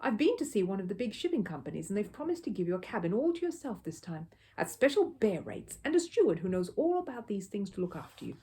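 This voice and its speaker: A woman voicing a kindly, reasonable middle-aged father in a children's storybook, speaking in a measured way. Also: medium pitch, big pitch swings, dark tone, husky. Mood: reassuring.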